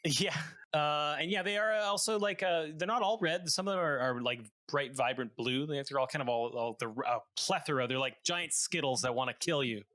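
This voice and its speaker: A man hosts a tabletop game as game master. His voice sits a little high and has a bright, forward edge. He explains rules and creatures in a casual, halting way. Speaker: game master of a tabletop role-playing podcast.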